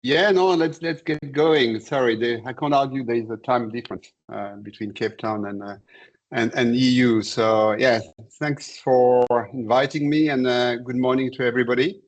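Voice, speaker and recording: A man in his sixties from Senegal, a commodity trader and interview guest, telling his career story in a measured, halting way over a video call. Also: mid-range voice, fairly even intonation, dull audio. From born in Senegal. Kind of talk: conversational career account.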